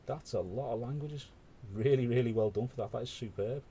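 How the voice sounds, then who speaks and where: A man's voice: medium pitch, brisk, warm tone, slight background noise. A British man hosting a paint-along stream, chatting casually with rambling asides. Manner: rambling, conversational, full of asides. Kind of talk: casual chat while painting.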